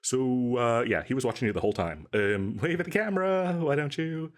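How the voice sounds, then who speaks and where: A man's voice: mid-range voice, lively intonation, a little fast, close to an everyday voice. A man playing an overeager, chatty and cheerfully shady salesman in a comedic audio roleplay, rambling and stammering coyly through his patter. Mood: cheerfully sheepish. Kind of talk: comedic audio roleplay, one side of a conversation.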